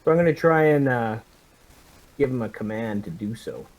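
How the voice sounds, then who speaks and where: A man's voice: medium pitch, lively intonation, moderate pace, lo-fi sound, slight background noise. A man playing a tabletop roleplaying game, casually describing his character's actions in a hesitant, thinking-aloud way.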